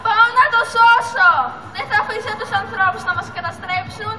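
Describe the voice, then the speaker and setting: A woman's voice: very high voice, crowd noise. A teenage girl playing an anxious, loyal young sea turtle in an amateur school puppet show, with earnest theatrical delivery. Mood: frightened, determined. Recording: echoey hall, music and audience noise.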